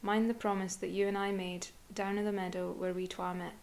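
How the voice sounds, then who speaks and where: A woman voicing a croaking yellow frog who courts a girl, in a sing-song, pleading chant. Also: mid-range voice, level tone, a little fast, close to an everyday voice. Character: croaking yellow frog suitor, male, insistent.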